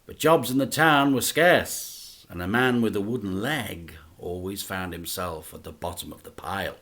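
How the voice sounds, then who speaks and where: A man, a teacher, reading a children's book aloud in an expressive, dramatic storytelling manner. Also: low-pitched, normal speed.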